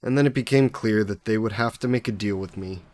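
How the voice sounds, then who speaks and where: A man's voice: fairly low pitch, brisk, a little mellow, no room sound, natural voice. A man voicing a centuries-old male demon bound in a necklace, sly and possessive, speaking in a mocking, theatrical way in an audio roleplay to the listener.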